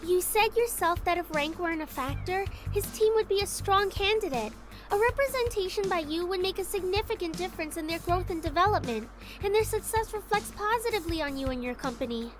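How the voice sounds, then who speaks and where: A strong-willed young woman student an extremely high voice for a video game. She is earnest, articulate and insistent, with soft music behind her. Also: animated, brisk. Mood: pleading, earnest.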